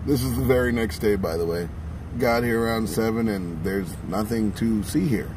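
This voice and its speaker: A man's voice: lowish voice, normal speed, noisy background. A man filming a casual travel vlog outdoors, chatty and wry, who is losing his voice. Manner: chatty, wry, self-narrating. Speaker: vlogger. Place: outdoors, windy town streets. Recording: music under the voice.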